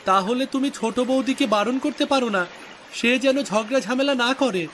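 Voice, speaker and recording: A man's voice: very high voice, normal speed, a bit bright, a little hiss or hum. A man playing a concerned younger village fisherman in a cartoon story, inquisitive and chiding. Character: concerned unmarried younger fisherman, teasing and helpful. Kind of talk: scripted cartoon story acting. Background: music.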